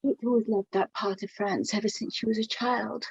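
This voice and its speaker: A woman playing a middle-aged mother of the bride in a radio play, fond, gently prying and rambling. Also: on the high side, fairly even intonation, fairly quick, gravelly, breathy edge, exaggerated voice. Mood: chatty, fond. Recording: echoey room, dull lo-fi sound.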